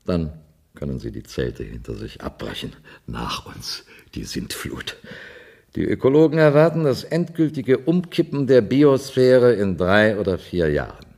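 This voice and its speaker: An actor playing a businesslike, coolly cynical space-station official in a 1970s German science-fiction radio drama. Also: male voice, fairly low pitch, unhurried, vocal fry, darkish tone. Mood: coolly matter-of-fact.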